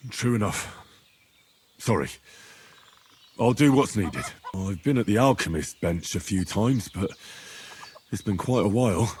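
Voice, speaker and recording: A man's voice: lowish voice, expressive, a little slow, long pauses, a little mellow, a little hiss or hum. A man playing an earnest, plain-spoken young medieval hero in a fantasy video game. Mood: polite, willing. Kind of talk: dramatic video game acting.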